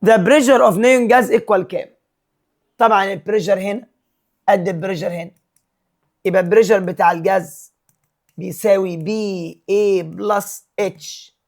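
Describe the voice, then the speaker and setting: A man with an Egyptian Arabic accent teaches physics, reading questions out slowly and solving them step by step. His voice sits high for a man, and he switches between Arabic and English terms as he works. Now and then he clears his throat. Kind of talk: solving exam problems step by step.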